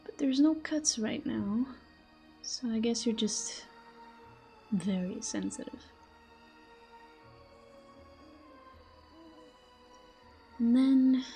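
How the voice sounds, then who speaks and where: Casting: actress as jealous, flirtatious female fantasy villain for audio roleplay; mellow, breathy, smug, soft music underneath. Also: on the high side. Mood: flirtatious, intimate. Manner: smug, taunting, breathy murmurs. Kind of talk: intimate scripted audio roleplay addressed to the listener.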